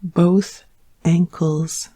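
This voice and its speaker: A woman leading a guided yoga nidra relaxation in a calm, soothing manner, leaving long pauses between gentle instructions. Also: on the low side, husky.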